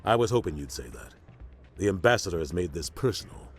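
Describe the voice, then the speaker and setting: An actor playing a seasoned, resolute military captain in a video game, speaking firmly. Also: male voice, deep voice, sing-song, brisk, slightly rough, soft background music, full character voice. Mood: determined. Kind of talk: video game cutscene acting.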